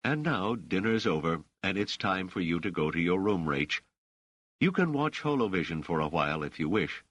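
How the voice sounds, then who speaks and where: A man's voice: deep voice, expressive, fairly bright tone, slightly rough, no echo, highs cut off, heavily acted. A man voicing a self-assured middle-aged woman ruler, gracious and commanding, in an audiobook story. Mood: kindly firm. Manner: poised, formal, measured phrasing.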